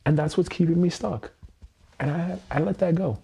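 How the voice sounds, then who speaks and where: Husky-voiced man voicing affirmations. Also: a little high, normal speed, warm tone. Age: 30s. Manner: earnest, repetitive affirmations with frequent swearing. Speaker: EFT tapping livestreamer. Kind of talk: spoken self-affirmation.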